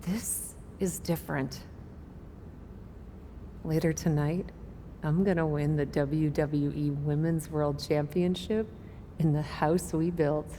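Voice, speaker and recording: An easygoing female champion in a wrestling game. Her friendly, confident voice sits a little low and takes its time, with long reverb around it. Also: fairly even intonation, slow, pauses often, warm tone. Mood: proud, reflective. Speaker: voice actor in a wrestling video game. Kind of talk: casual acted cutscene dialogue. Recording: reverberant room, faint noise.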